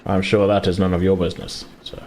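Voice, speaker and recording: A man's voice: low-pitched, little pitch movement, fast, darkish tone, natural voice. A man voicing an aloof, haughty butler in a tabletop game, curt, formal and dismissive. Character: aloof, haughty male butler to a noble lady. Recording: clear background noise, room ambience.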